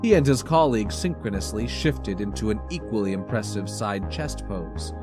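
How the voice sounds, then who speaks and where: A man narrating a scripted short story in an expressive reading style. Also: lowish voice, moderate pace. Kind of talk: animated scripted fiction narration. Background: music.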